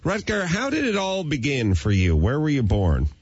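A man hosting a comedy radio talk show, jokey and animated, interviewing a guest. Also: fairly high pitch, moderate pace, slightly rough, no echo. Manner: animated, jokey, does silly voices in bits. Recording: dull lo-fi radio broadcast.

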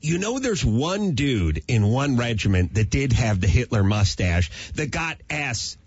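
A man hosting a comedy radio talk show, jokey and animated, interviewing a guest. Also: mid-range voice, measured pace, breathy edge, no room sound. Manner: animated, jokey, does silly voices in bits. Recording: dull lo-fi radio broadcast.

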